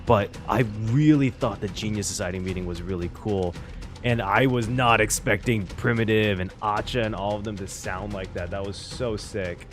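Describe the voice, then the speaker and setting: A man giving casual, excitable reaction commentary on a game story, chatty and occasionally swearing. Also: lowish voice, moderate pace, faint music behind. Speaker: reaction streamer.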